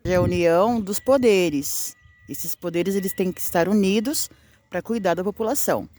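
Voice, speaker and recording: A woman's voice: lowish voice, normal speed, dark tone. A woman in her sixties answering street interview questions casually. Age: 60s.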